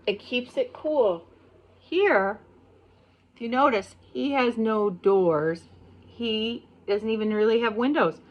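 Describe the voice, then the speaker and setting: Slow-paced American woman teaching little kids. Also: a little high, highs cut off. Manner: simple, explanatory, pausing on key words. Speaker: pre-kindergarten teacher. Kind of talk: read-aloud of a picture book to young children, with explanations.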